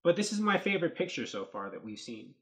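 A man in his thirties, hosting a casual commentary on movie set photos, thinking aloud with lots of fillers, recorded at a desk webcam in a small dark room. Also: fairly high pitch, very animated, fast talker. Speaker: host.

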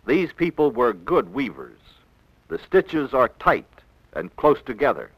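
Slow, expressive American educational film narrator, male. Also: medium pitch, no room sound, rough recording. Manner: measured, didactic, clearly enunciated. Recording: old 1960s film soundtrack.

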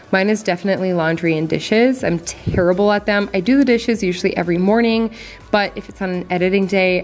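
A young adult woman chatting casually to viewers in a voice-over, enthusiastic and friendly. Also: on the low side. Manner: chatty, enthusiastic, addresses viewers as you guys. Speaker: home vlogger. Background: soft background music.

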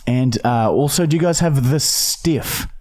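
A man in his thirties with an Australian accent, chatting casually and deadpan as a prank-calling podcast host on a close studio microphone. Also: mid-range voice, unhurried. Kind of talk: casual, deadpan phone chat. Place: small podcast studio, indoors. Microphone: close boom-arm studio microphone with headset.

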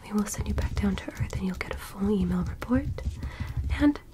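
A woman playing a polite, curious alien doctor in a whispered ASMR medical roleplay, speaking softly and breathily with gentle, formal attentiveness. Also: medium pitch, expressive, brisk, lightly acted. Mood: pleased, encouraging. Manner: gentle, clinical, formally praising.

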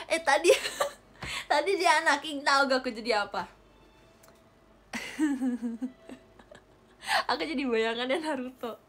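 A woman's voice: sits high, fairly bright tone. A young woman chatting casually with viewers on a livestream, giggling often and thanking them for gifts. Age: young adult. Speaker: idol livestreamer. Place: small bedroom with a gaming chair. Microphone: webcam or phone at close range.

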